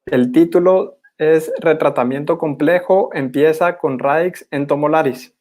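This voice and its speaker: A young man gives a formal academic presentation in a measured, didactic manner as a postgraduate student. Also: on the high side, darkish tone. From Guatemala. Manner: measured, didactic, clearly enumerating.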